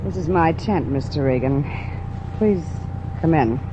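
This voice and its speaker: A woman's voice: low voice, unhurried. Cool, guarded radio-drama circus woman. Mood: cool, inviting. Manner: terse, insistent. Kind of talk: old-time radio detective drama acting. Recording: noisy, muffled radio playback in moving vehicle.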